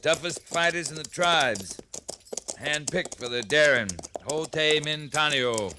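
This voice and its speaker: An American actor playing a wounded, steady army captain in an old radio western, speaking in a measured, understated, commanding way. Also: male voice, fairly high pitch. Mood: weary, dry. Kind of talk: 1950s radio western acting. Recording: noisy 1950s radio recording.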